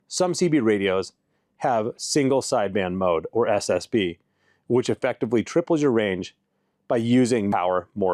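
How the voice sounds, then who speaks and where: A man's voice: on the low side, a little mellow, no room sound. Man narrating a dry, scripted explainer. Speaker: presenter of a radio shop's explainer video.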